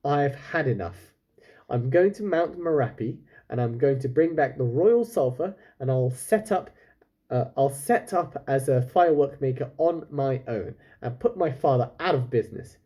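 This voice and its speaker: A man's voice: medium pitch, animated, moderate pace, mellow, lightly acted. A man reading the part of a defiant, determined young girl apprentice in a children's storybook, indignant and stubborn.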